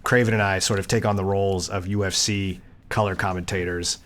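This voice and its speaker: Lower-voiced man chatting as podcast co-host. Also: little pitch movement, a little fast, a bit bright. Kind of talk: casual podcast chat.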